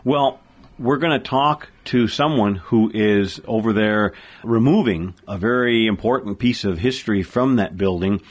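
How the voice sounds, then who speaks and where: A middle-aged or older man co-hosting a radio talk show, explaining things in a casual, plain-spoken, measured way. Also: on the low side.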